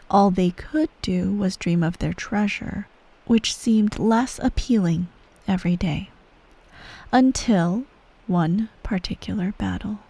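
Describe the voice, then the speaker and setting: Woman acting out an imperious evil empress, cold, manipulative, measured, condescending, breathy near-whispers, dry close-mic ASMR roleplay. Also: medium pitch, mellow, no room sound. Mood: grave, ominous. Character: cold, manipulative female ruler of an evil empire, stern parent. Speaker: voice actor in a roleplay audio. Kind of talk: ASMR roleplay, slow bedtime storytelling. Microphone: close microphone.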